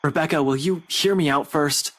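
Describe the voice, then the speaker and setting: A man's voice: a little high, normal speed, a bit bright. An actor playing an earnest, troubled young man in a visual novel game, speaking in a hesitant, grave way. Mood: earnest, pleading.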